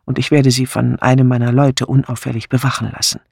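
A man's voice: medium pitch, little pitch movement, brisk, gravelly, darkish tone, no echo, clean studio sound. A man voicing an earnest, determined police inspector in an audiobook, serious and protective.

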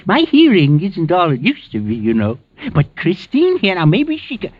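An actor playing an absent-minded, eccentric old coin collector who talks in a muddled, fussy way in an old-time radio drama. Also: male voice, high voice, wildly swooping pitch, normal speed, no echo, exaggerated voice. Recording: muffled vintage radio broadcast recording.

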